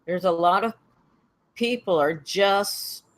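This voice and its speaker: A man playing a serious, demanding but guardedly supportive executive in a screenplay table read, measured and cautioning. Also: high voice, a bit bright.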